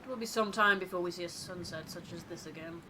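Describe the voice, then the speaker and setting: A woman voicing a stern, reserved expedition leader in a game, measured and formal. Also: lowish voice, natural voice. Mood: reserved, grave. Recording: noisy home recording.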